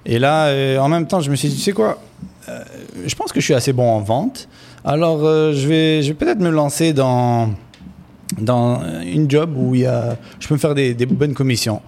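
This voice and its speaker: A young man from Canada, a real estate broker chatting about his path as a radio guest, relaxed and laughing easily. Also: mid-range voice, normal speed, vocal fry, slight background noise. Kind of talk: casual radio interview.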